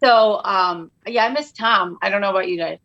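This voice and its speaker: A woman's voice: medium pitch, normal speed, vocal fry, lo-fi sound. A middle-aged woman chatting casually as a comedian guest, acting out bits of dialogue as she tells stories. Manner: animated storyteller who acts out quoted dialogue. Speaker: podcast guest, comedian and author. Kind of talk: casual comic chat.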